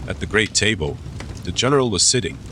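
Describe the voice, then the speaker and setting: A man's voice: lowish voice, expressive, a little fast, background noise. A man narrating a dramatized classic story as an audiobook, reading scripted literary prose.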